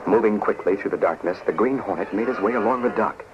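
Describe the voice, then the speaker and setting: A man's voice: lowish voice, fast talker, lightly acted. A man narrating a scripted adventure serial in 1940s radio style. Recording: muffled, faintly noisy 1940s radio recording.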